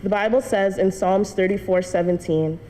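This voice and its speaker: A woman's voice: mid-range voice, normal speed, mellow. A young woman giving an earnest personal testimony speech to a church congregation, telling her story with light laughs. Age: young adult. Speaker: student speaker addressing a church congregation. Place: church hall. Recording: long church reverb, faint noise.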